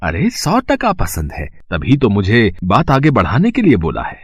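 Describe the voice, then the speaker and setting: A man's voice: lowish voice, huge pitch swings, measured pace, slightly rough, heavily acted. A man playing an affable, persuasive priest matchmaker with an effusive, reassuring manner.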